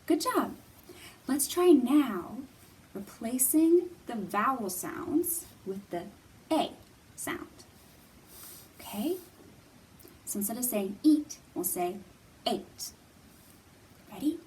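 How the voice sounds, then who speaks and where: A woman's voice: a little high, expressive, takes it slowly, pauses often, a little mellow. A woman presenting a children's storytime with singalong songs, encouraging and playful, explaining things simply for young children. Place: room in a public library. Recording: distant mic, background room noise.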